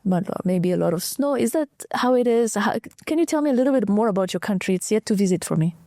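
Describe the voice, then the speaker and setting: A woman's voice: medium pitch, animated, fast, mellow, no room sound, studio quality. A woman hosting an interview, asking curious questions that she often restarts mid-sentence. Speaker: interviewer host. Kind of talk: conversational interview.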